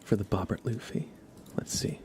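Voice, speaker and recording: A man hosting an ASMR livestream, chatting gently and haltingly to viewers in a soft, whispery murmur. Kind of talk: ASMR, casual chat with stream viewers.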